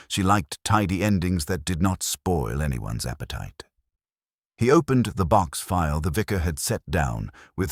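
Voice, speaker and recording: A British man reading literary mystery narration in a measured, formal, dryly wry manner. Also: very deep voice, no room sound, studio quality. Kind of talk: literary audiobook-style scripted narration of a mystery story.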